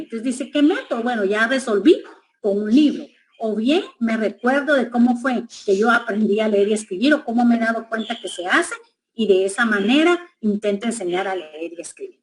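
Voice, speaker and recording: Educational speaker: a middle-aged Guatemalan woman, slightly high voice, thoughtful, hesitant delivery, faint background noise. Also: rough recording. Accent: Guatemalan Spanish. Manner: measured, reflective, many hesitations. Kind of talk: educational presentation.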